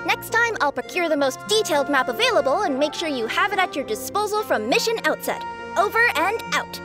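A woman's voice: extremely high pitch, sing-song, a little fast, over music. An actress playing an eager, chipper young cat-like delivery girl in a video game, keen and polite.

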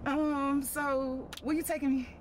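A woman's voice: sits high, flat delivery, a little slow, a little hiss or hum. A woman in her thirties from Houston with a Houston accent, talking in a playful, coaxing, slangy way with lots of stammering restarts. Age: 30s. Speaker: prank participant. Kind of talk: casual street chat. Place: outdoors on a street, then inside a car.

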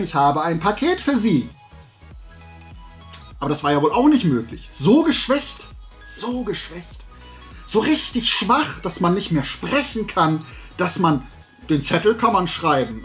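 Casting: male vlog host, forties, fairly high voice, animated casual storyteller with a sarcastic edge, music behind. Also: measured pace. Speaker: host of a talk and toy channel. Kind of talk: casual chat, telling an anecdote to camera. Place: small room at home with shelves of collectibles.

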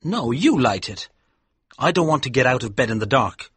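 A man's voice: mid-range voice, sing-song, normal speed, fairly bright tone, breathy edge, dry recording, pitched above the performer's own voice, heavily acted. A man voicing a frightened, pleading haunted man in a dramatised story. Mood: uneasy. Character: terrified, pleading haunted man, childlike with fear.

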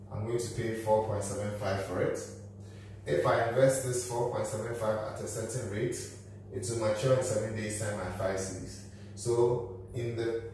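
Slow, methodical man teaching at a whiteboard. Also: mid-range voice, a little mellow, far from the mic. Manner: slow, methodical, talks through figures step by step. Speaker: finance educator. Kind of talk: whiteboard lesson. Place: small office room with whiteboard. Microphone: camera at a distance. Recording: echoey room, voice from off-mic, faint hum.

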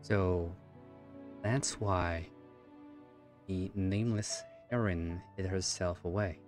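Guy streamer in his 30s musing over a game, really slow and halting, lowish voice. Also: fairly even intonation, darkish tone, faint music behind. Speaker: gaming streamer. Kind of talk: casual reaction commentary over gameplay.

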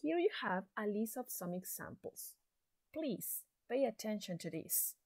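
Slow woman teaching English. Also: medium pitch, animated, darkish tone, no echo, clean studio sound. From Chile. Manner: slow, deliberate, encouraging teacher talk. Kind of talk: slow recorded classroom lesson.

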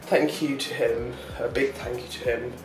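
A man's voice: mid-range voice, fairly even intonation, moderate pace, distant mic, soft background music. Man chatting in an echoey room. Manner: rambling, chatty, with many fillers and short laughs. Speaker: vlogger. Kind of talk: casual daily vlog chat.

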